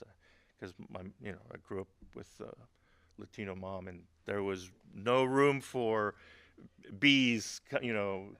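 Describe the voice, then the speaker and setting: A middle-aged man from Honduras giving a webinar presentation as a government agency speaker, talking in a halting way with many fillers and restarts and light humorous asides. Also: on the low side, fairly bright tone, smooth, no room sound.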